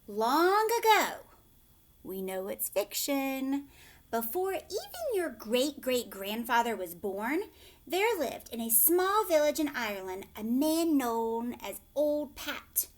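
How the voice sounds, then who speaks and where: A woman teacher reads a children's story aloud, pausing to explain things simply. Her voice is fairly high and very lively in pitch, and she goes at a slow, deliberate pace. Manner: explains words and checks in with young listeners. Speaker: teacher reading aloud to a class.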